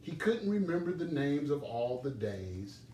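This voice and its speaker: A man reading a children's storybook aloud as an expressive storyteller. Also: mid-range voice, measured pace. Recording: roomy, distant microphone, background noise.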